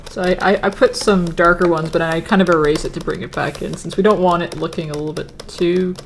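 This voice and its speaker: Low-voiced woman chatting while painting. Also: fairly even intonation, brisk, a little hiss or hum. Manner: casual, thinking aloud while painting. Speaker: digital painting instructor. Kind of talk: live-stream painting tutorial.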